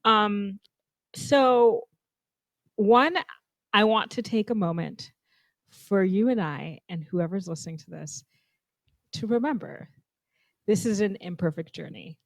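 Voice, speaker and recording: Animated young woman from zambia chatting on a podcast, thinks out loud, dry close mic. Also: mid-range voice, dry recording. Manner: animated, thoughtful, laughs often. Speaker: podcast co-host. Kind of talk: casual conversation.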